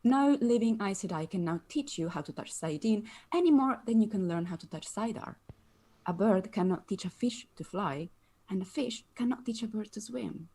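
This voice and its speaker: Calm, solemn mid-pitched actress as sorceress. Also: female voice, normal speed, breathy edge. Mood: calm, instructive. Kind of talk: table read of a screenplay.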